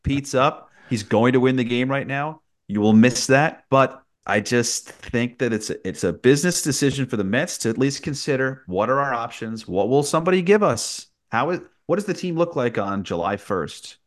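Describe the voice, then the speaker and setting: A man's voice: mid-range voice, lively intonation, normal speed, few pauses. A man who works as a sports broadcaster, giving conversational sports analysis as a podcast guest.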